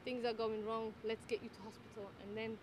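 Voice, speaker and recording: Woman, midwife, slightly higher voice, thoughtful, pauses often, noisy ambience. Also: little pitch movement. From Netherlands. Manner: thoughtful, many fillers and pauses. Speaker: midwife and tutor. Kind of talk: interview answers.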